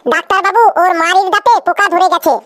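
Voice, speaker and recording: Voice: very high-pitched, fairly even intonation, quick pace, pushed and bright. A performer voicing a cheeky, literal-minded, childlike cartoon patient with an earnest way of talking. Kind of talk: comedy cartoon skit dialogue.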